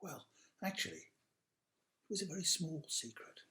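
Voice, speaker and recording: A man with a fairly high voice tells a children's story. He is unhurried and very animated, leaning on key words and dropping into hushed asides. The room has a clear echo. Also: a little hiss or hum. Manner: dramatic, drawn-out emphasis with hushed asides to young listeners. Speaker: author reading aloud own picture book. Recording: roomy, echoey sound.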